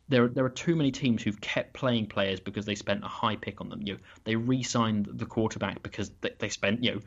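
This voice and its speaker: A man's voice: on the low side, lo-fi sound. A British man on a podcast giving his opinions, often stumbling and restarting his sentences. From Britain. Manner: opinionated, with frequent restarts and repeated words. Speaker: podcast guest, football analyst. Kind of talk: casual podcast discussion.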